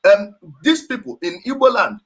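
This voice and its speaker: A man's voice: high voice, lively intonation, normal speed. A man with a Nigerian accent hosting a heated political discussion, speaking emphatically and repeating his phrases. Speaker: host.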